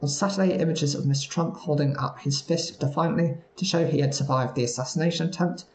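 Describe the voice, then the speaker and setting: A man reading news stories aloud at a steady pace, sometimes stumbling over words. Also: on the high side, a little fast, echoey room, low-quality recording. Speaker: news reader.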